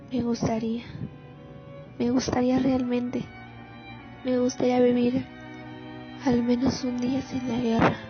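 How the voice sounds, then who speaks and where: An actress in a radio drama playing a grief-stricken, deranged mother haunted by war. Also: a little high. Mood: weary longing. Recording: muffled lo-fi audio under music.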